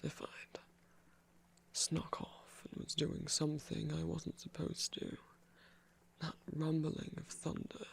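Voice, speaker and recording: A man voicing a gentle trickster god in an ASMR roleplay, whispering slowly and soothingly in a breathy, creaky voice with refined, hesitant phrasing. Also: fairly high pitch, heavily acted. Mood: quiet, wry.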